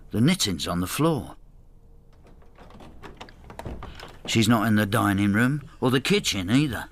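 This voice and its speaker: A man's voice: on the low side, a bit bright. An actor playing an embittered, spiteful old husband who narrates his marital war in a brooding, intimate radio drama style. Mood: bleak. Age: older.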